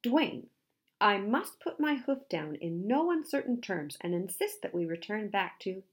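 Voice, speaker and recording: A woman's voice: mid-range voice, big pitch swings, normal speed, a little mellow, voice pushed up. A woman voicing a vain, pompous and reluctant male musk ox in a storybook, with a grandiose, put-upon manner. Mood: stern, pompous.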